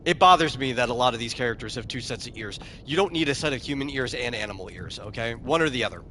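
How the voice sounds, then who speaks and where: Male game streamer, bright tense voice, casual chat, light background hiss. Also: mid-range voice, lively intonation, fast talker. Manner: chatty, repeats words when starting, answers chat. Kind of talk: casual commentary while playing a game.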